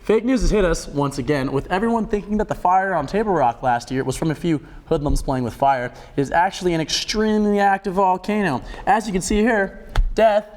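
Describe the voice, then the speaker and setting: A young American man plays weatherman on a student comedy newscast. His voice runs fairly high for a man as he delivers a mock forecast. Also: expressive, a little fast, hardly any pauses, a little mellow. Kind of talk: comic mock weather report.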